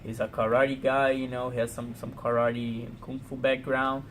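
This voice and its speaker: A man from Brazil with a Portuguese accent, speaking halting English full of "you know" in a casual interview. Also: mid-range voice, flat delivery, a bit bright, slight background noise. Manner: frequent "you know" fillers, halting non-native English. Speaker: pro fighter.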